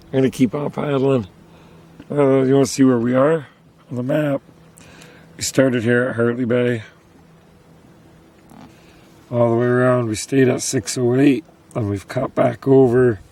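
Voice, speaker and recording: A man with a hoarse, failing voice, chatting casually to viewers while paddling a canoe on a river. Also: mid-range voice, dark tone. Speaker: vlogger on a solo canoe trip. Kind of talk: casual talk to camera. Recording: outdoor noise of wind and water. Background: wind and water noise.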